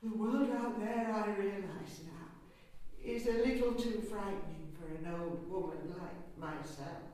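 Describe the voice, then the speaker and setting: An actress playing an imperious, murderous, half-mad old countess in a theatrical horror audio play, with a grandiose, halting delivery. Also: female voice, medium pitch, dark tone, close to an everyday voice. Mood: rapt, eerie. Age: older. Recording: echoey chamber, distant from mic.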